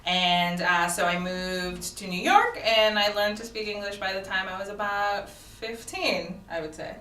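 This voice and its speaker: A bright-voiced woman who grew up in Japan chats as a comedian guest, playful and quick to laugh. The studio sounds roomy, with the mic set a bit away from her. Faint room noise sits underneath. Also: medium pitch, distant mic. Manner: laughs often, chatty and playful. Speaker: comedian and actor, interview guest. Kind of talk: casual interview chat.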